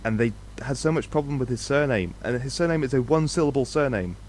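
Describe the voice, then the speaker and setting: A man from Scotland without a Scottish accent, talking excitedly and swearing in a casual podcast chat. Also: medium pitch, fast, slight background noise. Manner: animated, swears, repeats himself. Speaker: podcast co-host. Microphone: podcast microphone.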